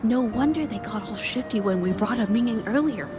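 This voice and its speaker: A woman voicing a sharp, determined young investigator in a video game. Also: a little high, expressive, fairly quick, over music, natural voice. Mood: deducing.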